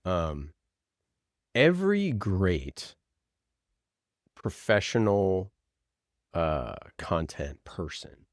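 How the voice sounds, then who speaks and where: A man's voice: low-pitched, very animated, very slow, long pauses, no echo, clean studio sound. A man speaking as a podcast producer and guest, answering conversationally and repeating phrases for emphasis.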